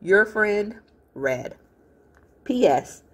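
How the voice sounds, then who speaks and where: Storybook girl, played by a woman: feisty, blunt, grumpy, husky, with expressive pitch. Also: very slow, full character voice. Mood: irritated, wary.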